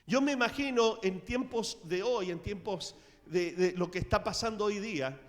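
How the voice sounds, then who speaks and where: A middle-aged man preaching a sermon in a church, telling the story with dramatic swings in loudness. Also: high voice, normal speed. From Latin America. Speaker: pastor. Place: church sanctuary. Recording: reverberant church hall.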